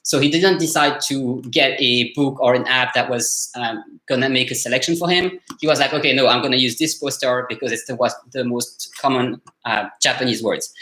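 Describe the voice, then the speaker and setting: A man with a French accent leads a live language lesson in a loose, conversational way, often restarting his sentences. His voice sits at a middle pitch. The room has a noticeable echo. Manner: relaxed, conversational, many fillers and restarts. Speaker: French coach. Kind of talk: casual live teaching session. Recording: roomy echo.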